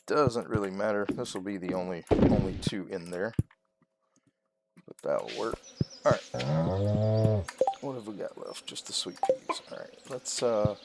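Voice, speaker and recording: Low-voiced man doing casual gaming commentary. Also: very noisy. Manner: unhurried, thinking aloud. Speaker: gaming video host. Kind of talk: casual let's-play commentary. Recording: loud game music behind voice. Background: video game music.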